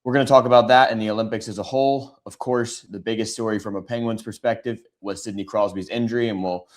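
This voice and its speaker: A man with a raspy, hoarse voice hosts a sports podcast, talking through the news in a casual, conversational way with frequent ums. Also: on the low side, steady tone, fast. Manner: conversational, many filler ums. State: hoarse from shouting.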